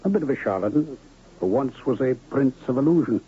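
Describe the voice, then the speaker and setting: A man playing a courtly, cryptic medicine man and illusionist in a radio drama, with florid, measured, theatrical speech. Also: mid-range voice, animated, slightly rough. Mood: solemn. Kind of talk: 1970s radio drama acting. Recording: dull, lo-fi old radio recording.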